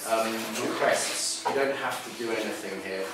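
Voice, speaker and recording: A man's voice: lowish voice, moderate pace. A man in his thirties with a British English accent, leading a workshop with a joking, direct, emphatic manner. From England. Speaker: workshop leader. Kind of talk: lively workshop talk with guided exercises. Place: small cafe room, indoors, live audience. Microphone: far camera. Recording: echoey room from far off, noisy.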